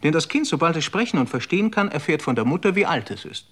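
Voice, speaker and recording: A worldly, condescending know-it-all in a radio play, with a voice of middle pitch. He lectures with a lively, rising and falling melody. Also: quick pace. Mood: lecturing. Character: worldly man explaining the rules of a strict society. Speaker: radio drama actor. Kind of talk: German radio play acting.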